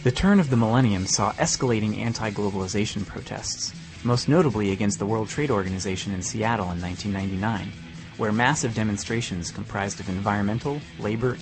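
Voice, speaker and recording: A man's voice: on the low side, poor audio. A man reading scripted documentary narration for a podcast.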